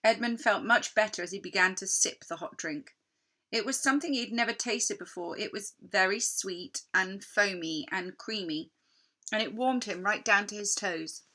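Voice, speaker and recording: Bright, forceful woman reading a story. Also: medium pitch, moderate pace. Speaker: storybook reader. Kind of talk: expressive children's story narration.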